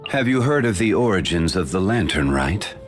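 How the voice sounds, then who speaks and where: A deep, ancient, composed sage. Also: male voice, big pitch swings, soft background music. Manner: measured, formal and unhurried. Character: ancient composed male sage, calm and dignified. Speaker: game character voice actor. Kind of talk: studio game voice lines.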